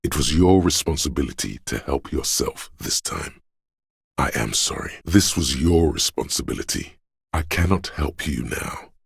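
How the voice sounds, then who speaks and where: Very deep, gravelly solemn narrator. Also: male voice, lively intonation, normal speed, no room sound, studio quality, full character voice. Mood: wry, weary. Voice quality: gravelly, husky. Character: omniscient, solemn inner-voice narrator of police camaraderie. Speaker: voice actor narrating a character's inner voice. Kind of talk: literary scripted game narration.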